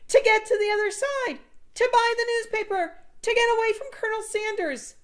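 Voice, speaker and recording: A woman voicing a flustered, excitable boy magician in a children's storybook. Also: very high voice, normal speed, pitched above the performer's own voice. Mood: frantic yelling.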